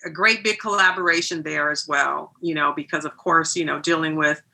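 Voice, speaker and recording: A woman's voice: deep voice, fairly even intonation, fairly quick, gravelly, sharp tone. A woman answering conversationally as a hair and makeup artist being interviewed about film work.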